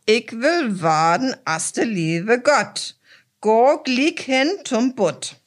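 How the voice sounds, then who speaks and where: A woman voicing a greedy, domineering fisherman's wife in a Low German fairy-tale reading, in a stern Low German accent. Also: animated, extremely slow, heavily acted. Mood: demanding. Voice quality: stern and demanding.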